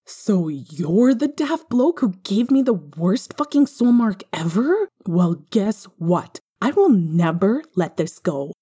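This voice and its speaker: A woman's voice: medium pitch, big pitch swings, slow, few pauses, mellow, husky, full character voice. A woman voicing a brash, foul-mouthed young man who speaks bluntly and emphatically in a story reading. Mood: outraged.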